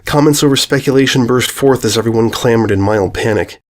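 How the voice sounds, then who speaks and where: A man's voice: medium pitch, a little fast, slight background noise. A man narrating a scripted story as its reader.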